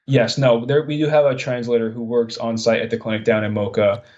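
A man's voice: mid-range voice, flat delivery, brisk, darkish tone, muffled recording. A young man from Long Island, New York, talking casually as an interview guest. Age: young adult. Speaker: podcast guest, recent chiropractic graduate.

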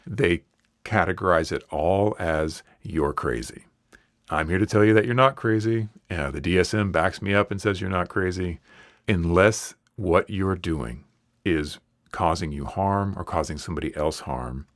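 A man with a very low voice and a cold hoarseness hosts a solo podcast. He talks straight into a close mic in a dry, deadened room. His manner is earnest and reassuring. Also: unhurried, no room sound. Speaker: podcast host.

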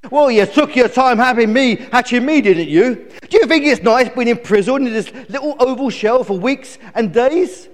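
A man's voice: extremely high pitch, moderate pace, few pauses, voice pushed up. A man voicing a bossy, ungrateful newborn eagle chick in a live children's story, complaining and scolding. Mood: indignant complaining. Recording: echoey hall with long reverb.

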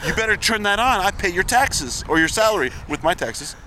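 A man riffs and goads his co-host in loose outdoor banter. His voice is bright but worn from a long day of talking. Faint city noise sits behind him. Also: sits high, animated, fairly quick, rough recording. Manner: provocative, teasing, rambling. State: losing his voice. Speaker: podcast co-host. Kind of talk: joking banter.

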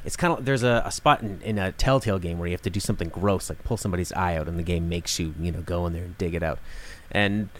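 A man's voice: deep voice, fast, hardly any pauses, slight background noise. A man co-hosting a casual discussion, explaining things in a conversational way. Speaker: podcast co-host discussing video games.

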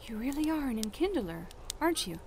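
A woman's voice: high-pitched, big pitch swings, a little fast, a little mellow, slight background noise, rough recording. An actress playing a fiery young elf woman in a fantasy audio drama, with a pointed, challenging manner. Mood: wry. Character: fiery, defiant young elf woman burdened by unwanted power.

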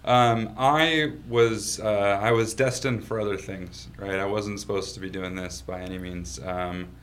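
A man's voice: fairly low pitch, steady tone, unhurried, a bit bright, slight background noise. A man from Washington state, a company founder being interviewed in his office, telling his story in a laid-back way and laughing easily. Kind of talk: casual storytelling.

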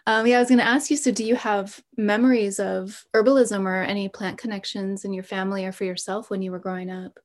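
A woman hosts a casual podcast interview, asking curious follow-up questions and chiming in with her own family stories. Her pitch is typical for a woman. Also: fairly even intonation, quick pace, hardly any pauses. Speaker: podcast host.

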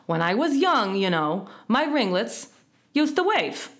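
A woman's voice: fairly high pitch, sing-song, unhurried, fairly bright tone, voice pushed up, heavily acted. A woman voicing a cross, fretful old male wasp in a storybook, grumbling in rustic, ungrammatical speech. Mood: fussy, querulous.

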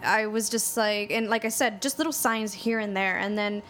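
A woman hosting an interview, relaxed and conversational as she shares her own story. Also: medium pitch, moderate pace, a little hiss or hum. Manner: relaxed, conversational, sharing personal stories. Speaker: host.

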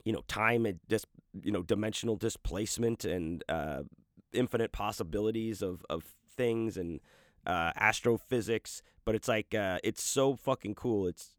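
A man's voice: fairly low pitch, normal speed, no room sound, clean studio sound. A man hosting a podcast in loose, rambling casual chat, profane and full of restarts, talking about his audiobook narration work.

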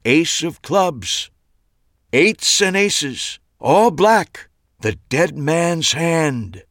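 A man voicing a showy male stage magician with dramatic, drawn-out announcing in a storybook reading. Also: a little high, expressive, fairly bright tone, no echo, studio quality, voice pushed up, heavily acted. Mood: ominous.

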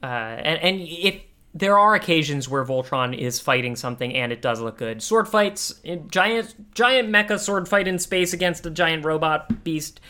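A man chatting casually as a podcast co-host reviewing a cartoon, rephrasing his thoughts haltingly as he goes. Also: fairly high pitch, lively intonation, bright, forceful tone.

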